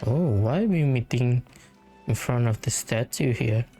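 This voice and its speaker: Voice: mid-range voice, lively intonation, a little slow, mellow. A gamer giving casual, hesitant play-through commentary, thinking aloud. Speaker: gamer, let's play host. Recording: game music behind the voice. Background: game music.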